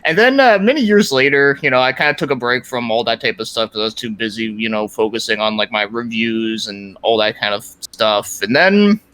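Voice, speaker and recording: A man's voice: medium pitch, expressive, a little fast, few pauses, fairly bright tone, smooth, poor audio. A man chatting casually as a podcast guest, rambling with lots of "like" and some swearing. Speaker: guest, creator of a fan parody series.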